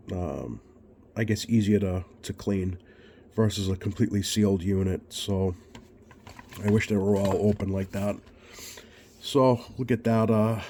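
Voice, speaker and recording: A man's voice: on the low side, warm tone. A man giving casual, off-the-cuff narration as a repair technician, thinking aloud in a hesitant way. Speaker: radio repair technician hosting a bench video.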